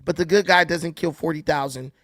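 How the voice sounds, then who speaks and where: An American man hosting a casual call-in chat, talking emphatically and firing off rhetorical questions. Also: on the high side. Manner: animated, quick asides, emphatic rhetorical questions. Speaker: show host.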